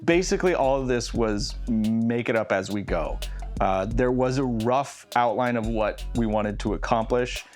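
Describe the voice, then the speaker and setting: A man's voice: medium pitch, normal speed, darkish tone. A man talking casually in an interview about a shared project. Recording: music playing behind.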